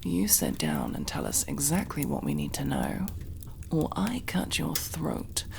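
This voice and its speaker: A woman playing a mocking, menacing elf interrogator in an ASMR roleplay, gravelly, drawing out taunts and dropping into breathy whispers. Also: extremely low pitch, huge pitch swings, a little slow, noisy background, heavily acted. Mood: taunting, threatening.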